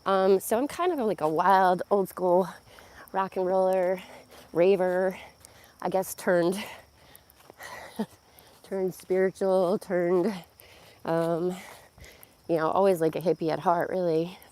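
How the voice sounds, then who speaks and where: A middle-aged woman with a hoarse, worn voice, chatting casually to a phone camera while walking outdoors by a pond, rambling with many fillers. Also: lowish voice, steady tone, takes it slowly, long pauses, darkish tone. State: hoarse, losing her voice. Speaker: vlogger and yoga teacher. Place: outdoors on a nature walk by a pond. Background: crickets and insects outdoors.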